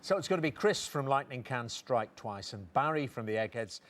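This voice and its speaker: A man hosting a television quiz show, reading questions and chatting with contestants in a brisk, upbeat way. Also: mid-range voice, lively intonation, a bit bright, a little hiss or hum.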